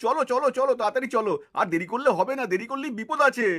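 A man's voice: very high voice, very fast, bright, forceful tone. A man voicing a sly, lecherous snack-seller villain in a puppet cartoon, wheedling and pushy. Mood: wheedling, menacing.

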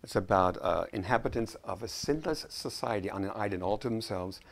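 Low-voiced man in his sixties, joking guest. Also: brisk, creaky. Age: 60s. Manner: joking, earnest storyteller. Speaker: guest scriptwriter. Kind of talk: casual interview chat. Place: living room set, indoors.

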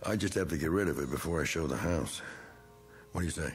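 A gruff, deep-voiced man. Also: quick pace, soft background music. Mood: pushy. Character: gruff middle-aged man clearing out his attic. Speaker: actor in a TV drama. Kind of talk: 1980s TV drama acting.

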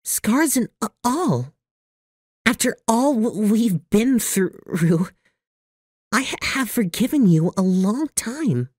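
A woman voicing a gentle, devoted, nerdy young man with a heavy stutter in a comic dub. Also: mid-range voice, sing-song, slow pace, breathy edge, no room sound, clean studio sound, exaggerated voice. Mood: tender, pleading. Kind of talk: amateur comic dub acting.

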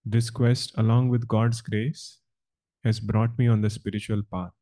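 A man with a dark, relaxed voice guides meditation as a spiritual teacher. He speaks very slowly and deliberately, in short, measured phrases. His delivery stays calm and instructional. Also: fairly low pitch.

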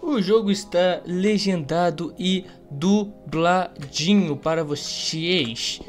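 Young brazilian guy doing lets play commentary, highish voice, jokey slang, bit of music behind. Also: takes it slowly. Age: young adult. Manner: playful, slangy, swearing. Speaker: gaming YouTuber. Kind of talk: casual gameplay commentary.

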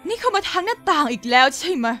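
A woman's voice: very high-pitched, big pitch swings, quick pace, pushed and bright, soft background music, heavily acted. A woman voicing a foul-mouthed cartoon rock mom. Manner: swears freely, snaps impatiently. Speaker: mother hosting a poker game. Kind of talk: Thai fan dub of a cartoon.

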